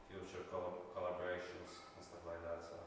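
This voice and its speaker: A very low-voiced man from Latvia, introducing himself at a group meetup. He talks haltingly, leaning on filler words. The sound is far from the mic in a very echoey room with a long reverb tail. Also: completely flat delivery, noisy background. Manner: hesitant, lots of "like" fillers. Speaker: attendee. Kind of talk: casual self-introduction.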